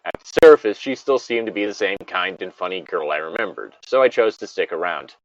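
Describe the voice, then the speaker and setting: A man's voice: low-pitched, lively intonation, brisk. A man reading a story aloud in a casual style as a co-host, with bantering asides. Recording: dull lo-fi close recording.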